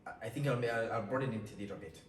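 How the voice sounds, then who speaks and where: A man's voice: medium pitch, racing, slight background noise. A man who is a guest in a casual chat, speaking hesitantly with many fillers. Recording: echoey room, mic at a distance, faint ambience.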